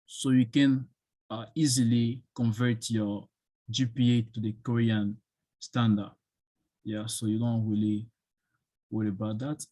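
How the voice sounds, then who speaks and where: A man whose first language is French, answering questions as a guest speaker in an online session, pausing often with fillers. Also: medium pitch, level tone, warm tone, no room sound. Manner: hesitant, many fillers, thinking aloud. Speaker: guest speaker on studying abroad.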